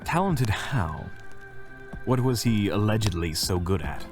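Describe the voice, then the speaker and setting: A man's voice: on the low side, expressive, measured pace. A man playing a bitter, proud villain in a fantasy audio drama, speaking in a theatrical, sardonic way. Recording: music behind the voice.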